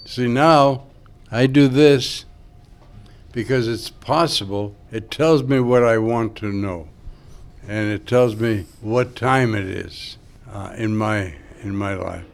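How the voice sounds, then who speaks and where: An older man whose first language is Greek, a sculptor musing slowly and thoughtfully about his work. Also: lowish voice, gravelly. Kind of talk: interview reflection.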